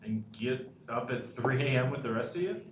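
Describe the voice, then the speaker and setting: Earnest, stressed male high school senior, read by a man, hesitant delivery; roomy, muffled table-read sound. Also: expressive, moderate pace, natural voice. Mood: candid, hesitant. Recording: echoey, dull, faintly noisy room recording.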